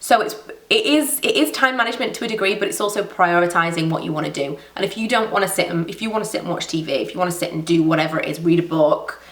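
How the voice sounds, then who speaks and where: A woman's voice: fairly low pitch, lively intonation, fast, echoey room, recorded from a distance. A woman in her thirties with a stuffy cold, chatting casually and rambling through viewer questions in a home vlog. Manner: chatty, rambling, self-deprecating. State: blocked nose from a cold. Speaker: beauty vlogger.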